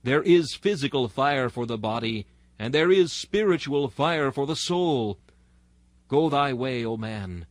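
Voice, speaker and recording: A man delivers a dramatic sermon reading at a middling pitch. His manner is solemn and exhorting, with formal oratorical phrasing. The sound is dry and free of room echo. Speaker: narrator reading a historical sermon.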